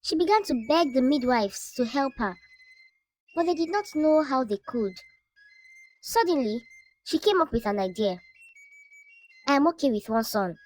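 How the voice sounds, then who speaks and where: A woman narrating a scripted folktale as a storyteller, in a measured, dramatic way. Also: sits high, expressive, a little mellow, faint music behind.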